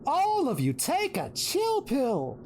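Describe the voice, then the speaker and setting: A woman's voice: high-pitched, huge pitch swings, slow, a little mellow, a little hiss or hum, exaggerated voice. A woman playing a vain, flippant spirit of pleasure in an audio drama, with a smug theatrical drawl. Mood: smug, dismissive.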